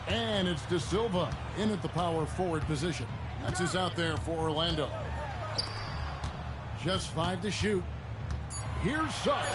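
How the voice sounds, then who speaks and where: A man voicing a brisk, polished play-by-play announcer in a basketball video game. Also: sits high, very noisy. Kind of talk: sports play-by-play commentary. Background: music and basketball court sounds.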